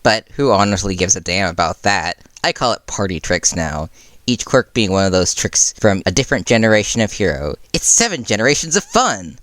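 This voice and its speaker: A man's voice: fairly low pitch, big pitch swings, fairly quick, fairly bright tone, no echo. A man voicing a breezy, mischievous underground superhero in an audiobook, with a casual, cheeky, flippant way of talking. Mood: flippant, boastful.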